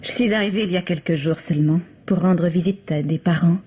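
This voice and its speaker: Sympathetic female visitor, radio drama: tentative, mellow, husky, on dull vintage audio. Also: fairly low pitch, fairly quick. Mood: polite, hesitant. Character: soft-spoken, hesitant female visitor, sympathetic and solicitous. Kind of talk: French radio mystery drama acting.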